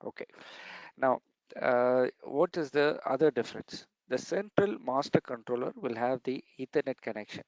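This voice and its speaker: A man's voice: mid-range voice, expressive, normal speed, no room sound, muffled recording. A man giving a technical training lesson over slides, explaining step by step and repeating key points.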